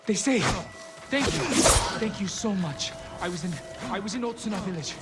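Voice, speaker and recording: A man playing a terrified villager in a game, desperate and grateful, in halting, pleading speech. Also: high-pitched, expressive, over loud music. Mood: awed, shaken.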